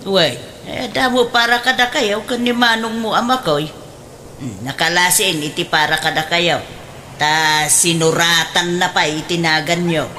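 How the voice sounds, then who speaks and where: A woman acting a gentle, questioning mother of grown children in an Ilocano radio drama, with an Ilocano accent. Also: on the low side, a little slow, a little hiss or hum. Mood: gently reproving.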